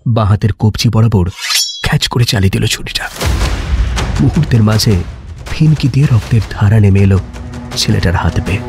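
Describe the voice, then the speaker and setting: A man narrating a scripted story dramatically, with emotive phrasing and pauses. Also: lowish voice, music in the background.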